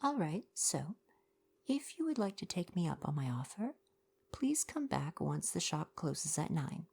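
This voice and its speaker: Low, creaky-voiced kind merfolk shopkeeper, played by a woman. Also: sing-song, unhurried, a little mellow, exaggerated voice. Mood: warm, encouraging. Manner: polite, chatty, helpful customer-service phrasing. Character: kind young merfolk gift-shop keeper, gently sardonic. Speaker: ASMR roleplay performer. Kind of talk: ASMR roleplay, soft-spoken.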